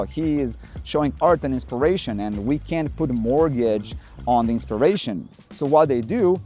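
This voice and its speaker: A man's voice: mid-range voice, mellow. A man from Serbia with a Serbian accent, Serbian his first language, talking wryly and self-deprecatingly as a photography vlogger. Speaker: photography YouTuber. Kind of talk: scripted vlog monologue. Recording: faint music underneath. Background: faint music.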